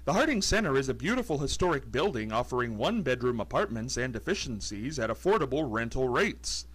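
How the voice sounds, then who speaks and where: A man's voice: a little fast, breathy edge. A man reading a scripted local radio ad in an upbeat way. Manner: upbeat read with lively pitch. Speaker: ad announcer.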